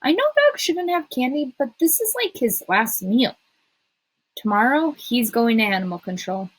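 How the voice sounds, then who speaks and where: A woman's voice: on the high side, big pitch swings, moderate pace, slightly rough, no room sound, low-quality recording. A woman voicing a guarded, brainy misfit schoolgirl in an audiobook, blunt, stubborn and hurt. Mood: glum.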